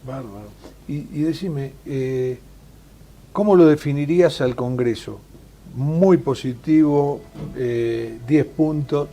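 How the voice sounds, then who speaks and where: A man's voice: mid-range voice, slow, mellow. Chatty male interviewer. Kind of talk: casual interview questions.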